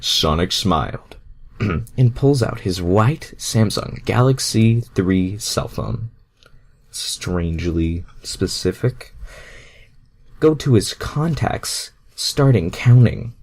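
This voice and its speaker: A man's voice: fairly low pitch, lively intonation, slow pace, husky. A man doing a casual amateur story reading, self-deprecating and breaking off to comment. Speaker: amateur fan fiction reader.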